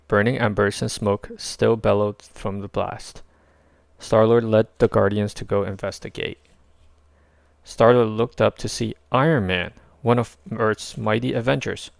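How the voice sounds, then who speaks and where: A man narrating a children's story in a measured, expressive read-aloud style. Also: on the low side, gravelly, no room sound. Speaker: storybook reader.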